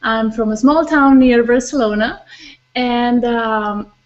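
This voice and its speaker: A woman from Catalonia answering interview questions over a video call, steady and enthusiastic. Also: fairly high pitch, takes it slowly, dull audio. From Catalonia, Spain. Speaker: guest, internet professional.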